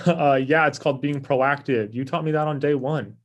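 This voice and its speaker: A man's voice: a little high, moderate pace, close to an everyday voice. Man acting self-serving chatty office opportunist. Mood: blithe. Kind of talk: casual comedy script table read.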